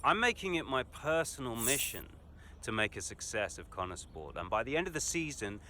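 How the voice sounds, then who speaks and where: An actor playing a cocky racing driver, talking in a smug, breezy, boastful way in a game cutscene interview. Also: male voice, mid-range voice, sing-song, brisk, bright, forceful tone, a little hiss or hum. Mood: self-assured. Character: cocky, self-satisfied male Formula One racing driver.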